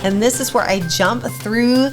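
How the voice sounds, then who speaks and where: A woman co-hosts a laid-back comedy podcast with a raspy voice she is still getting over. She chats easily, laughs often and slips into upbeat promo lines for the show. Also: fairly high pitch, a little slow, over music. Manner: chatty, laughs easily, promo-style plugs. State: raspy, recovering voice. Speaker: podcast co-host. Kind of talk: casual comedy podcast chat.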